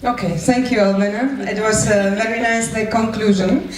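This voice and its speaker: A woman's voice: mid-range voice, steady tone, normal speed. A woman speaking as the moderator of a live event, addressing the room. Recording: echoey room, distant mic, faint noise.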